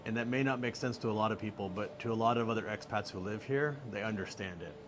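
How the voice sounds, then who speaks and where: A middle-aged American man giving relaxed, joking answers as an interview guest. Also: fairly low pitch, fast, a crowd in the background. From United States. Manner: relaxed, joking, laughs easily. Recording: faint background noise.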